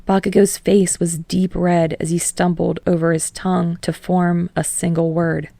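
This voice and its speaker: A woman's voice: on the low side, flat delivery, moderate pace, gravelly, mellow, no room sound. A woman reading fiction aloud as an audiobook narrator in a scripted storytelling style.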